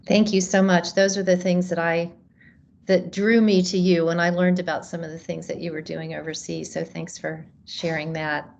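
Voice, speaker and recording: A woman's voice: on the low side, flat delivery, moderate pace, gravelly, darkish tone, highs cut off. A woman moderating a video call panel, thanking a speaker politely and handing over the floor. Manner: polite, gracious.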